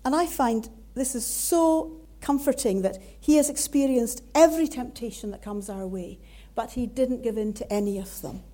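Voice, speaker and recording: A woman with a Scottish accent giving a sermon in an earnest, measured way. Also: on the high side, warm tone. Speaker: preacher.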